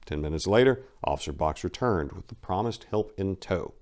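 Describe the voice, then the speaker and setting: A man's voice: low-pitched, animated, normal speed, darkish tone. A man narrating a crime story as a dramatic reading.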